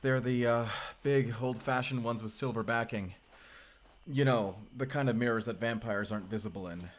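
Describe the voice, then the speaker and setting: A man's voice: mid-range voice, moderate pace, close to an everyday voice. A man playing a wary, protective vampire slayer in an audio roleplay, speaking closely to the listener. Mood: weary, watchful. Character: mysterious Spanish male vampire slayer, earnest, protective, wary. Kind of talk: cinematic audio roleplay acting.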